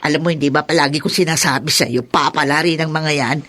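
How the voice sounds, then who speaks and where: An actress playing a chatty, warm-hearted middle-aged neighbor woman in a radio drama. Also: low voice, fast talker. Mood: admiring. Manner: chatty, animated.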